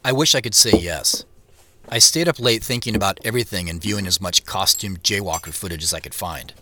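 A man's voice: sits low, brisk, noisy background, close to an everyday voice. An actor playing a troubled, weary younger brother who tells his story in an understated, reflective way in an audio drama. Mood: tired, reflective. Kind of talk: first-person audio drama narration and dialogue.

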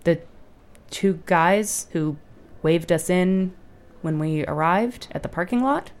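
A woman's voice: on the low side, expressive, slow. A woman playing a sarcastic agent in an audio drama comedy, with a dry, pointed way of talking. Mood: sheepish. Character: sarcastic, unflappable American female agent.

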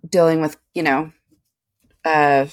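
A middle-aged woman co-hosting a podcast, talking in a relaxed, meandering way with lots of fillers. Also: deep voice, slow, dry recording. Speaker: podcast co-host. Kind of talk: casual chat.

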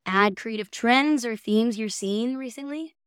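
A woman's voice: a little high, unhurried, clear, resonant tone, no room sound, studio quality. A woman hosting a podcast, chatting casually as she guides the discussion. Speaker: podcast host. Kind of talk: casual chat, guiding discussion.

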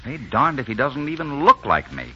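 A man's voice: mid-range voice, very animated, moderate pace, sharp tone. An actor playing a brash, devoted romantic leading man who also narrates, in a 1940s radio drama. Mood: warm, reassuring. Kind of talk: 1940s radio acting with first-person narration. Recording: muffled old radio recording, noisy.

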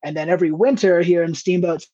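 A man's voice: high voice, steady tone, quick pace, warm tone. A man from Ohio, a stand-up comic, chatting casually and rambling on a podcast. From Ohio, US Midwest. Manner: rambling, laughs easily. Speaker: stand-up comedian, guest. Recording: dull lo-fi sound.

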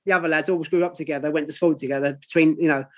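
Quick-talking London man hosting. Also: fairly high pitch, steady tone. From London, England. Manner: chatty, matey, lots of ums. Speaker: podcast host. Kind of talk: casual chat.

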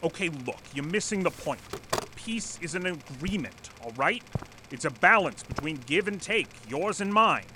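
An actor in an audio drama playing an earnest, stubborn former manager who lectures formally and pleads insistently. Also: male voice, fairly high pitch, lively intonation, moderate pace, noisy background, close to an everyday voice. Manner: formal, insistent, speech-making. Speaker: presenter at a company meeting. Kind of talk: audio drama acting, satirical dystopian comedy.

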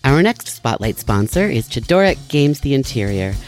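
A male announcer reads a scripted sponsor spot. His medium-pitched voice has lively, varied intonation over a light musical bed. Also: moderate pace. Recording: music behind voice.

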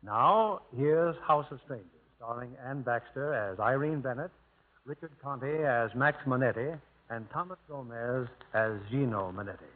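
A man hosting a 1950s radio drama, giving scripted narration in a formal, measured, theatrical manner. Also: mid-range voice, slight background noise. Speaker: producer and host. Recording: old tinny radio broadcast.

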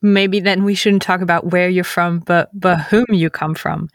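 An interviewer asking calm, gently probing questions. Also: medium pitch, normal speed, dry recording, clean studio sound.

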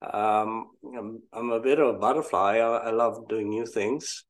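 A man's voice: fairly low pitch, fairly even intonation, measured pace. An older man from Malaysia, a guest entrepreneur telling his story in a casual interview, reflective and self-deprecating.

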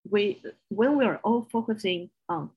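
A woman's voice: medium pitch, normal speed, slightly rough, muffled recording. A woman with a strong Chinese accent, a non-native English speaker, speaking earnestly as a guest coach in an interview. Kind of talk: podcast interview.

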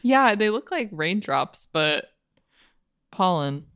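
A woman's voice: lowish voice, sing-song, slow pace, a bit bright, smooth, no room sound. A woman co-hosting a podcast in casual chat, with a dry, jokey, self-deprecating way of talking. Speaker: podcast co-host.

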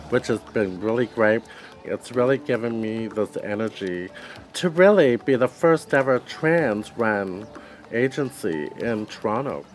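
A man who is a community organizer, answering interview questions in an earnest, driven way and leaning on the word "really.". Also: medium pitch.

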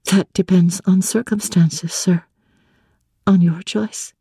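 Woman voicing a breathy, devoted young woman in love. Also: on the low side, fairly even intonation, takes it slowly, no room sound, clean studio sound, voice pushed up, full character voice. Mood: shy, teasing. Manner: soft, breathy, tender and respectful. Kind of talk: dramatic audiobook reading of classic literature.

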